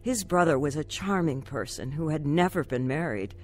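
A woman's voice: deep voice, moderate pace, soft background music. An actress playing a troubled wife and mother who tells her life story in an earnest, confessional radio drama style. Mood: regretful. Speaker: lead actress narrating. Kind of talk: radio drama first-person narration.

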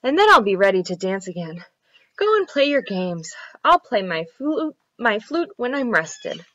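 A woman's voice: medium pitch, very animated, measured pace, a bit bright, no echo, dull audio, pitched above the performer's own voice. A woman voicing a clever female turtle in a children's story, coaxing and reassuring as she talks. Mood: soothing, sly. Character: clever female turtle, sweet-talking, calm trickster.

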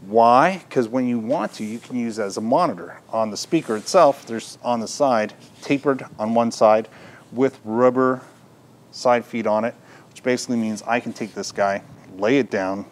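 A man's voice: mid-range voice, normal speed. A middle-aged man presenting a product in an informal, unscripted, explanatory way. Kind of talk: unscripted product demonstration talk. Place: indoor audio gear shop, at a counter.

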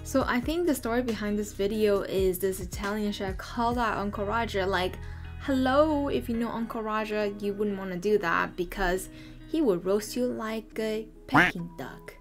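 A young woman reaction vlogger talks to a close camera in her bedroom. She is chatty and gushing, with soft music running quietly under her voice. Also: mid-range voice, normal speed. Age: young adult. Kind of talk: casual reaction commentary. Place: bedroom, indoors.

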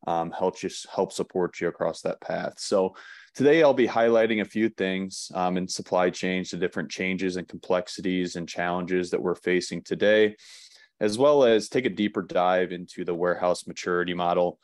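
A man presenting slides in an online webinar, relaxed, with many "um" fillers and restarts. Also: low-pitched, moderate pace, no room sound, clean studio sound.

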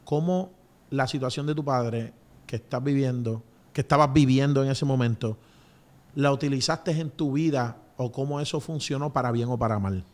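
A middle-aged man with a Puerto Rican accent interviews as a show host, in halting phrases, close to a studio microphone. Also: medium pitch. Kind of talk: conversational interview. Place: small indoor studio. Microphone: close studio microphone on a boom arm.

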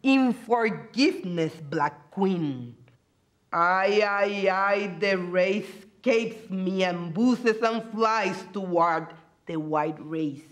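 A Puerto Rican woman in her forties, a native Spanish speaker, recites verse with dramatic emphasis. Her medium-pitched voice moves slowly, with deliberate pauses between phrases. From Puerto Rico. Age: 40s. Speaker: bilingual special education teacher.

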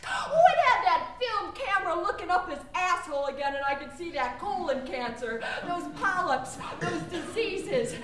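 Wailing high-voiced woman, far and roomy. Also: bright, forceful tone. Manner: wailing, laughing and sobbing, lurching between shrieks and low moans. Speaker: performance artist. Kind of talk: confrontational spoken-word performance. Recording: roomy sound from far off the mic.

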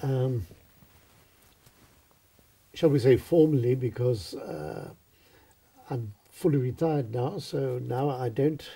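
An older man, a retired opera school director, answering in a studio interview with measured, formal phrasing. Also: medium pitch, vocal fry, warm tone, breathy edge.

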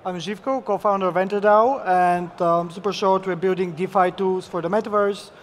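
A man's voice: high-pitched, steady tone, normal speed, a little hiss or hum. Young businesslike man on a hall stage. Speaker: panelist. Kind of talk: conference panel discussion. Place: large conference hall stage. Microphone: headset mic.

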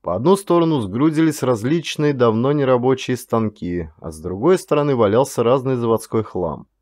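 A man's voice: mid-range voice, expressive, moderate pace, darkish tone, no room sound, studio quality. A man reading a first-person audiobook thriller as a dramatic scripted narration.

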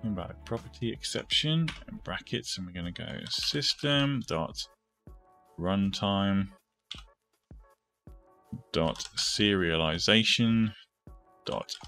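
Male tutorial host, low and unhurried with a bright edge, informal thinking-aloud delivery, music and typing behind. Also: expressive. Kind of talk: step-by-step coding tutorial narration.

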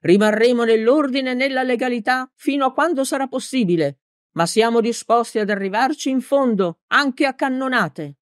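A woman's voice: medium pitch, lively intonation, normal speed, no echo, studio quality, pitched above the performer's own voice, close to an everyday voice. A woman voicing a big, hot-tempered male village mayor in a storybook, blustering and clumsily formal with emphatic delivery. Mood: shouting, rousing.

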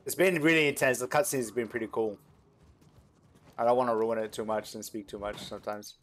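A man's voice: mid-range voice, steady tone, brisk, a bit bright. A man giving casual gameplay commentary as a gaming video host, close to the microphone over game audio.

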